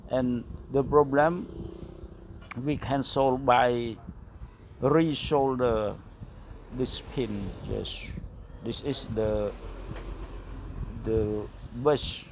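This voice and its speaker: Slow, halting man with non-native English accent. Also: medium pitch, a little mellow, a little hiss or hum. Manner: halting, short broken phrases with simple wording. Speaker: phone repair technician and instructor. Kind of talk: hands-on repair tutorial narration.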